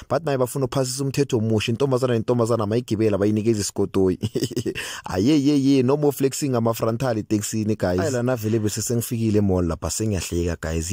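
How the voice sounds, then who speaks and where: Young man, South African accent, rapid chatty vlog voice-over, low mellow voice, dry close sound. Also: no echo. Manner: fast, chatty, keeps saying "guys". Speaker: vlogger.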